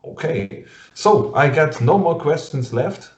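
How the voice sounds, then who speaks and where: A man with a German accent interviewing a guest over a video call in English, halting and full of fillers and restarts, laughing often. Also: fairly high pitch, lively intonation, a little mellow. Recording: muffled lo-fi sound in an echoey room.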